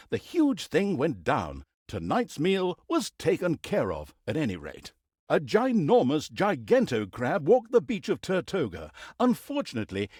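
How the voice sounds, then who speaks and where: A man's voice: a little high, darkish tone, husky, no room sound, clean studio sound, exaggerated voice. A man voicing a wry, theatrical storyteller for a fantasy game, with an arch and droll manner. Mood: wry storytelling. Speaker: game narrator. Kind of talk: comic fantasy storybook narration.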